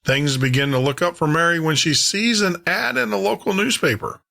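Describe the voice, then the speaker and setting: Bright-voiced male podcast host. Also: fairly high pitch, normal speed, creaky. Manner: informal, rambling. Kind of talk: casual, chatty show hosting.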